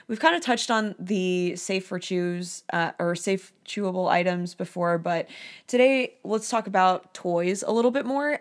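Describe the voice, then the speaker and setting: A woman with a hoarse voice hosts a casual dog-care podcast. She sits a little low in her pitch and talks in a loose, friendly way, dropping ums and uhs as she goes. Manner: chatty, with frequent ums and uhs. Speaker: dog trainer, podcast host.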